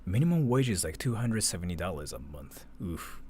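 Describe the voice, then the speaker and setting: Guy streamer just chatting and reacting, kinda low voice but really up and down, rambly, says like a lot. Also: sing-song, brisk, warm tone, slightly rough. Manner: rambling and conversational, peppered with "like". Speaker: livestream host reacting to a video. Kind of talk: casual off-the-cuff reaction commentary.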